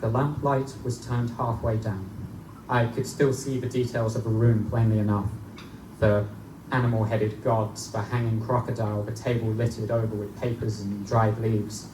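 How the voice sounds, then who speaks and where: A man playing an earnest, studious young student narrating a gothic tale in formal, measured phrases at a live reading, recorded in a roomy, noisy space. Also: on the low side, natural voice. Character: Smith, earnest studious young male Oxford student recounting eerie events. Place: live stage reading in a bookstore. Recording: echoey live recording, distant mic, room noise.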